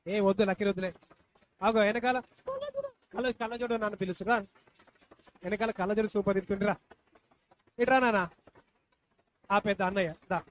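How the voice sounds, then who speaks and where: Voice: medium pitch, steady tone, a little fast, pauses often. A puppet show host talking live to children, coaxing and repeating questions. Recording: dull lo-fi live recording, faint noise.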